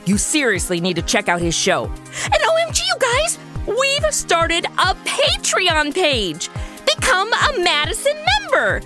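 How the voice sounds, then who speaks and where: A woman's voice: very high voice, huge pitch swings, a little slow, over music. A woman hosting a scripted podcast in a chirpy, emphatic manner. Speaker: podcast host and lead performer.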